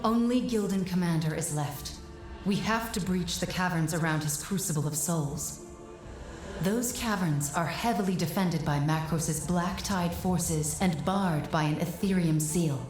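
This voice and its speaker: Mystic guide , female actor: lowish, composed, solemn, formal, roomy echo, fantasy game. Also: unhurried, a little mellow, natural voice. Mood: urgent, instructing. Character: calm, self-sacrificing female mystic guide to the hero. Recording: echoing voice over music.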